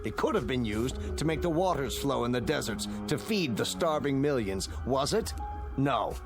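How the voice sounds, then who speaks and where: Radio drama, male: severe guardian elder played by an actor, medium pitch, lecturing and uncompromising, music beneath. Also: animated, moderate pace, natural voice. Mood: grave, accusing.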